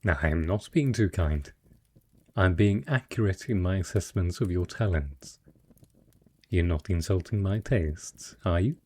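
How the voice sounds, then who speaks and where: Man playing a husky, slow, courtly dragon patron. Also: low voice, dark tone. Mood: admiring, earnest. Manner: refined, eloquent, effusive. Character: dragon disguised as a wealthy male patron, possessive, courtly, effusive. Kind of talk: romantic fantasy audio roleplay addressed to the listener.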